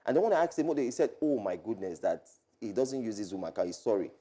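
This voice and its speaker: A Nigerian man in his forties, co-hosting a morning TV show in an animated, chatty way, often trailing off and restarting. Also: fairly low pitch, fast talker, husky. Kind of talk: casual studio chat. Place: large TV news studio.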